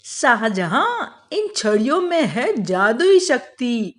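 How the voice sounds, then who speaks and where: Woman playing a high-voiced, clever royal adviser. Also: very animated, slow. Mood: assured. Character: clever, self-assured male royal adviser Birbal. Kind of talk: Hindi children's moral cartoon dubbing.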